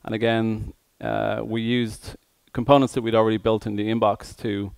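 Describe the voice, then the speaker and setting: Low-pitched, flat-toned man with a gravelly creak. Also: normal speed, no room sound. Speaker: conference speaker, software engineer. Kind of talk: tech conference presentation.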